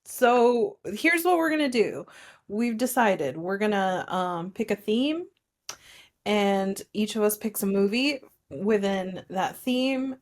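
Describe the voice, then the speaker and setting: A woman's voice: medium pitch, animated, slow. A woman co-hosting a casual film discussion, explaining things with playful banter. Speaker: podcast co-host.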